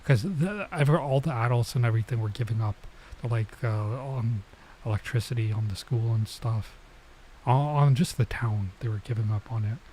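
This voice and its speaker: A man's voice: medium pitch, warm tone, slight background noise, rough recording. A man doing a casual let's play, reading visual novel text aloud and commenting as he goes. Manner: halting when sounding out foreign words. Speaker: streamer playing a visual novel.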